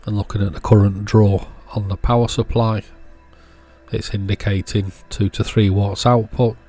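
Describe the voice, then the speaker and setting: A man with a fairly low voice talks casually through a hands-on repair. He is unscripted, thinking aloud as he works, with music faintly present behind him. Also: level tone, normal speed, mellow. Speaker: host of an electronics repair channel.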